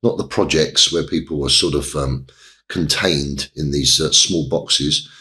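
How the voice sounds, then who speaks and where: A middle-aged man from England, a guest in an interview, telling his story in a halting way with many pauses and restarts. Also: a bass voice, sharp tone. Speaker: interview guest, property developer.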